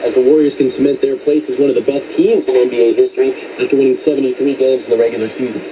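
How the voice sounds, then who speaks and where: A man's voice: mid-range voice, fairly even intonation, very fast, muffled recording. A man working as a sports pundit, giving fluent, explanatory basketball analysis over shortwave radio. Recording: noisy shortwave radio broadcast.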